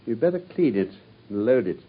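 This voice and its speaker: A man's voice: medium pitch, big pitch swings, fairly quick, slightly rough. An actor playing a brilliant, confident male detective in an old radio drama. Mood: assured. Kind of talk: 1950s BBC radio drama acting. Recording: dull lo-fi old radio recording.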